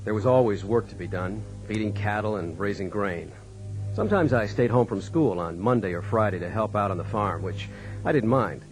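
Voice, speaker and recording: A man's voice: lowish voice, expressive, moderate pace, faint music behind, poor audio. An actor with an American accent playing a farm man who tells of his wayward youth in a radio drama. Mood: reflective. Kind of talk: radio drama first-person narration.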